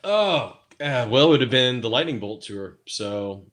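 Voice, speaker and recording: A man from Missouri with a Midwestern accent, chatting as a guest in a casual interview. His voice sits at an ordinary middle pitch, and he rambles and hesitates with many fillers and restarts. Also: lively intonation, unhurried, fairly bright tone. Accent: Midwestern American. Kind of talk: casual video chat interview.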